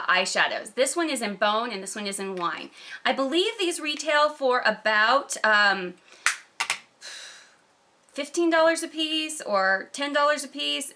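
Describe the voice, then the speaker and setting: A woman with a slightly croaky voice from a cold, chatting casually and emphatically to camera as a gushing beauty vlogger. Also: fairly high pitch, bright, forceful tone. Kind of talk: casual product review chat to camera.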